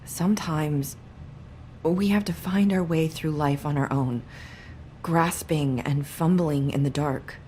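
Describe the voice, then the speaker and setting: A sorrowful young game character with a low voice. She speaks slowly and hesitantly, guarding what she says, over audible background noise. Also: darkish tone. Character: guarded, sorrowful young woman hiding secrets. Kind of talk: video game dialogue acting.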